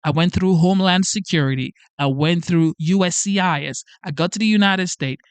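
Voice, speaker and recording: A man's voice: high voice, normal speed, smooth, no echo. Emphatic man's dry pep talk. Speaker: channel host. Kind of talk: motivational livestream talk to camera. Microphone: facing a camera.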